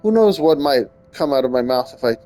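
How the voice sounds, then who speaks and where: A man with a medium-pitched voice plays a game, switching between reading aloud and casual commentary. He tends to trip over words and repeat them, with faint music underneath. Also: expressive, fairly quick, dark tone. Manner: stumbles and rereads while reading aloud. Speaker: gaming streamer.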